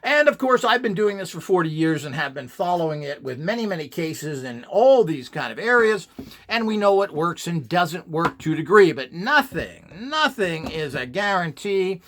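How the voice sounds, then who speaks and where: A mature man with a somewhat high-set voice talks off the cuff as a video presenter. He is blunt and emphatic, with a scornful edge as he lays out his opinions. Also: big pitch swings, normal speed, a bit bright. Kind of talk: unscripted opinionated monologue.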